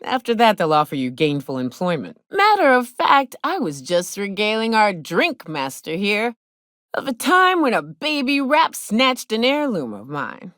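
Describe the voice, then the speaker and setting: An actress voicing a hard-drinking, wry frontier guide in a video game, with a blunt, folksy, sardonic drawl. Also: female voice, medium pitch, sing-song, a little slow, fairly bright tone, no echo, studio quality. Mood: boastful, wry. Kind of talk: video game character dialogue.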